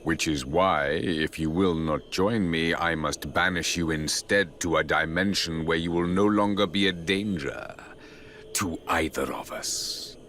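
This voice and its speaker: A man's voice: sits low, unhurried, a bit bright, slight background noise. An actor playing a grandiose, embittered middle-aged villain king in a video game, pompous and menacing. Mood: smug menace. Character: grandiose, embittered male villain king mourning his lost realm.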